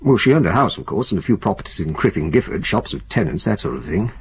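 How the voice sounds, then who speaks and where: An actor playing a jovial, brisk older head of a law firm, hearty and commanding in a radio drama. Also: male voice, low voice, very animated, vocal fry, slight background noise, exaggerated voice. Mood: measured. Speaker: senior partner of a law firm.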